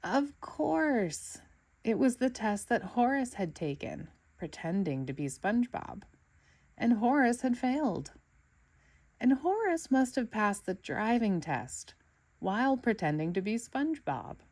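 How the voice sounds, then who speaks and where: A woman reading a children's storybook aloud in an expressive narrating style. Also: a little high, unhurried. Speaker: storybook reader.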